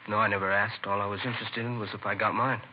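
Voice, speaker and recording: An actor playing a remorseful, streetwise teenage addict confessing in slangy, halting phrases in a 1950s radio crime drama, on a muffled, hissy recording. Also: male voice, on the low side, flat delivery, natural voice. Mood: reluctant confession.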